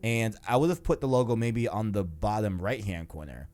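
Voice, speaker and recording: A man's voice: fairly low pitch, normal speed, a little mellow, faint music behind. A man chatting informally and ramblingly as host of a gaming podcast, with slangy asides. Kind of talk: casual gaming podcast chat.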